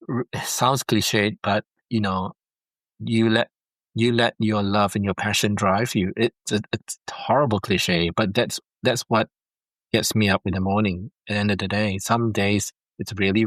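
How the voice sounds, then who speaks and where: Foster dad guest from Singapore, middle-aged, earnest, repeats himself, lower voice, dry call audio. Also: male voice, fairly bright tone, no room sound. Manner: earnest, repeats words while thinking. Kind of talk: heartfelt interview over a call. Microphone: video call.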